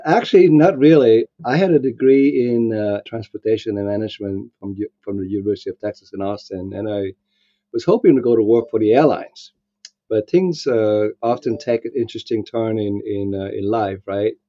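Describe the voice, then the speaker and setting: A man's voice: lowish voice, a little fast, dark tone, dry recording, highs cut off. A man in his sixties who grew up in Vietnam, answering interview questions in a reflective way, with many fillers and restarts. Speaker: guest.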